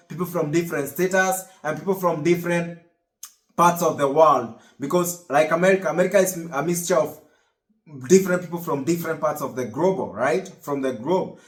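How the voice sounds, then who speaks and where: A young man with a Kenyan accent talks straight to his viewers, his voice sitting a little high for a man. He rambles, circles back and restarts phrases as he goes. The sound bounces around a reverberant room. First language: Kikuyu. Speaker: vlogger. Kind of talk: casual motivational talk to camera.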